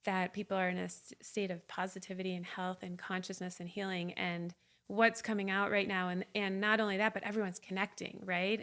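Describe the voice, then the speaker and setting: A woman's voice: lowish voice, fairly bright tone. A woman co-hosting a podcast, talking in an upbeat, earnest way about health. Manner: upbeat, earnest, repeats "right?". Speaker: podcast co-host. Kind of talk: casual podcast conversation.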